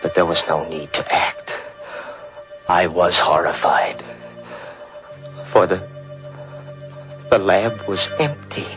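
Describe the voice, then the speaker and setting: A deep-voiced killer recounts his crime in feverish, rising narration. The sound is dull and old-time, with music underneath. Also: slow, pauses often. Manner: feverish first-person narration, rising to frantic. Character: young man gone mad with immortality, a murderer. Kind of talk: 1940s radio drama acting.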